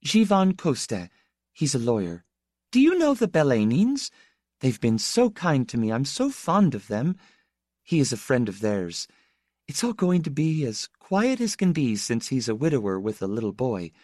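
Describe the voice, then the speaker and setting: A hesitant young lady from an audiobook, voiced by a man, pitched high and recorded dry. The tone is thin, sweet and polite, with soft, careful calm. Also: moderate pace, no room sound. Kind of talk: audiobook character dialogue.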